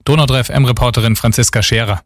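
A man's voice: mid-range voice, fairly even intonation, a bit bright, no room sound. Formal male radio news anchor. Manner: measured, formal reading. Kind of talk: read news bulletin. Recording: dry studio sound.

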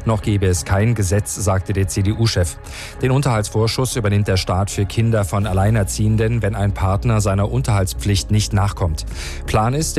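A man reading national and world news on the radio in a steady, formal way. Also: lowish voice. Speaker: newsreader.

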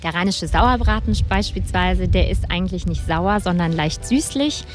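A middle-aged woman from the Rhineland speaks in a slightly low voice. She gives explanatory interview answers as a hospitality host, with faint music in the recording. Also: moderate pace. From Rhineland, Germany. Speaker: hotelier and restaurant host, interview guest.

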